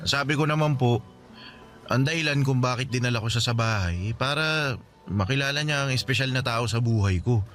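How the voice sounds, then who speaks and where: A man's voice: medium pitch, normal speed, fairly bright tone, soft background music, lightly acted. A man playing a devoted young adult son in a Filipino radio drama, speaking politely to his mother. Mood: fond, earnest.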